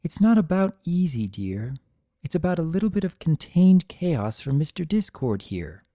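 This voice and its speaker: A performer voicing an older mare pony, a patient mother who explains things calmly and sensibly in a storybook reading. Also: mid-range voice, normal speed, no room sound, clean studio sound, pitched above the performer's own voice. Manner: calm, sensible, gently teaching.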